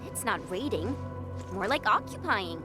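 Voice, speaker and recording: A woman's voice: medium pitch, big pitch swings, a little slow. A woman voicing a casual, upbeat young operative in video game story dialogue. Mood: casual, curious. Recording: music behind the voice.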